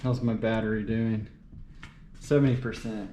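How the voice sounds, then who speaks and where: A man's voice: lowish voice, steady tone, moderate pace, warm tone. A man thinking aloud in short, muttered fragments while working on a car, unscripted and casual. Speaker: car mechanic making a repair video. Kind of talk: unscripted talking to himself while working on an engine. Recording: roomy echo, light background noise.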